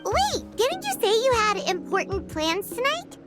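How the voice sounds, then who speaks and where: A woman voicing a tiny childlike girl companion in a video game, cute, squeaky, cheeky and chatty. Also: sing-song, unhurried, music in the background, heavily acted. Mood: surprised, concerned. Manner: squeaky, cute, speaks of herself in third person. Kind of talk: English video game voice acting.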